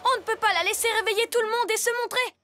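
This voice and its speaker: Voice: extremely high pitch, fairly even intonation, very fast, sharp tone. A performer voicing an eager, sincere young superhero boy who rallies the team in a French children's cartoon. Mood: determined. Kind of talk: French animated children's series dub. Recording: music behind the voice.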